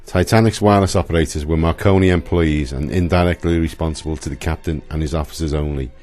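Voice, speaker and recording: A man's voice: very deep voice, fairly even intonation, fast, a little mellow, faint music behind. A man reading scripted historical narration for a radio play.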